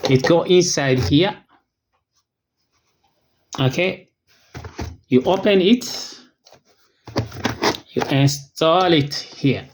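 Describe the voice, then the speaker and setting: An unboxing host giving a casual product walkthrough in short, simple, clipped phrases, at a medium pitch. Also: extremely slow, long pauses. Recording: noisy, with clicks and crinkling packaging.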